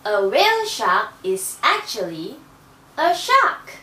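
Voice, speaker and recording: A woman's voice: a little high, huge pitch swings, takes it slowly, sharp tone, full character voice. A woman voicing a know-it-all shark puppet who teaches patiently and exclaims, for a children's educational show. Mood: explaining. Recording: roomy echo, distant microphone.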